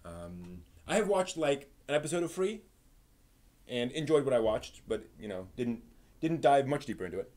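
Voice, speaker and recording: Male streamer, medium pitch, casual and off-the-cuff, halting as he thinks aloud. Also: very animated, darkish tone. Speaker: live stream host. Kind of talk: casual, improvised live stream chat.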